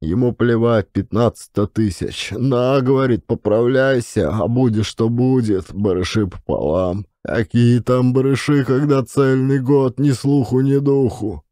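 A man's voice: mid-range voice, unhurried, hardly any pauses, warm tone, no room sound, clean studio sound, pitched above the performer's own voice, lightly acted. A man voicing a gullible, bitter old blind man in a folksy, lamenting and snapping manner for an audiobook drama. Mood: agitated.

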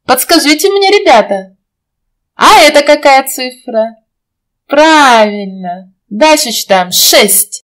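Woman, high voice, very animated intonation, encouraging sing-song delivery, children's educational narration. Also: slow pace. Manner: encouraging, sing-song teacher talk with questions to the viewer. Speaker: presenter of a children's counting video.

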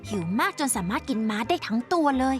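A precocious little girl scientist in a cartoon. Her voice is high and swings freely in pitch as she lectures in formal, matter-of-fact terms, with music clearly behind her. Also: expressive, fast talker. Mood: chatty. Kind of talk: animated cartoon dub.

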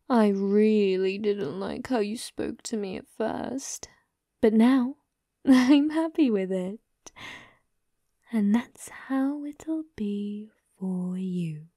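A woman voicing a bratty, sadistic yandere young woman in an ASMR roleplay, taunting and breathy, often slipping into whispers. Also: mid-range voice, dark tone, dry recording, clean studio sound. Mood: gloating.

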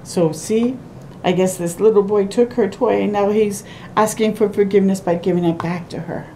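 Mellow-voiced woman reading to small children. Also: fairly even intonation. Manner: slow, simple sing-song phrasing addressed to small children. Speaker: children's storytime reader. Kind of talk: read-aloud of a children's picture book with asides. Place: small room at home, by a window. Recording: clear background noise with low rumble.

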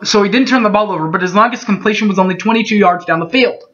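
A man in his thirties reading through postgame football stats from his bedroom, steady and methodical, with small slips he corrects as he goes. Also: sits high, racing. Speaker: sports fan recapping a game. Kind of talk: webcam postgame stats recap. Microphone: webcam mic. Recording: dull lo-fi webcam audio.

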